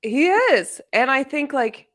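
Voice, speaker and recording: A woman in a casual book discussion, speaking in an informal, exclamatory and animated way. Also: sits high, takes it slowly. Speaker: guest discussing a novel in a readalong livestream.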